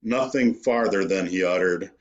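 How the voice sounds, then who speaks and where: A man giving a dramatic gothic poetry reading in a theatrical, deliberate manner. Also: deep voice, lo-fi sound. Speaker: poetry reader.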